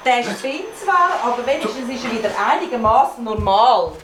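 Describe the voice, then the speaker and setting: An actress playing a nosy, scornful sister in a children's audio drama, with challenging, mocking Swiss German speech. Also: female voice, fairly high pitch, lively intonation, normal speed, roomy sound, noisy background, natural voice.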